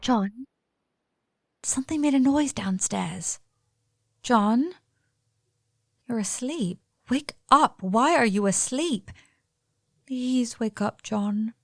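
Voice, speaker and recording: A woman playing a fanciful, haunted yet defiant young gentlewoman in a radio play, with a theatrical, genteel delivery. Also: fairly high pitch, expressive, slow, pauses often, no room sound, studio quality, heavily acted. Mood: frightened.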